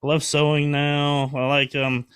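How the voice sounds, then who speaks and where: A man's voice: on the high side, level tone, unhurried, fairly bright tone, smooth. A man in his forties having a casual, earnest podcast conversation, colloquial and prone to swearing. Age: 40s.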